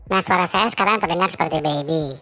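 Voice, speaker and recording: A man voicing a small baby through a pitch-raising voice-changer filter, explaining software. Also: fast talker, faint music behind. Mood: cute, matter-of-fact. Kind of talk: software tutorial narration.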